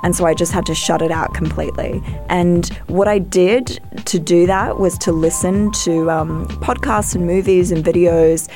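A woman from Australia answers interview questions in an earnest, reflective way, laughing now and then. Her voice sits on the low side. Faint music plays beneath her. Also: steady tone, moderate pace, few pauses, mellow. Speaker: guest.

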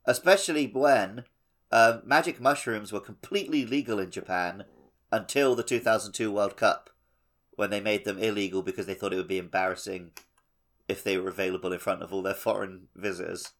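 Bright, forceful low-voiced man. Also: brisk. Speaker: podcast co-host. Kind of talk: casual gaming podcast chat.

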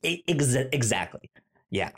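A man co-hosting a podcast, talking thoughtfully in a casual, halting way with many restarts. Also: mid-range voice, expressive, husky. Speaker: podcast co-host. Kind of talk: casual chat.

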